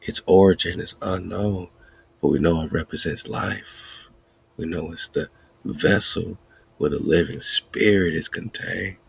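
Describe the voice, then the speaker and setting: A man hosting a podcast, riffing excitedly in casual commentary with wordplay and frequent laughter. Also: low-pitched, measured pace, creaky, breathy edge. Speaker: podcast host.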